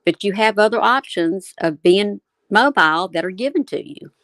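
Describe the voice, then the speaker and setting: A woman's voice: mid-range voice, expressive, normal speed, fairly bright tone, dry recording. A woman giving opinionated commentary, speaking as if straight to the person she discusses. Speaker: commentary video co-host.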